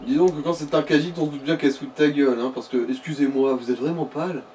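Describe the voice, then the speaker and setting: A man with a somewhat high voice chats casually over a video game. He jokes in a playful, mock-dramatic way. He sounds off-mic, with faint noise around him. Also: fairly even intonation, fast, a little mellow. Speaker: gaming companion. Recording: distant from mic, background noise.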